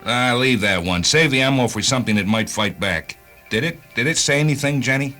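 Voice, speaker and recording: A hard-driving, vengeful man from a radio play acted by a male performer, with a bright, forceful edge to his voice. He is curt, commanding and sardonic, over a faint music bed. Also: medium pitch, animated, a little fast. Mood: wry. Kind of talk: radio drama acting.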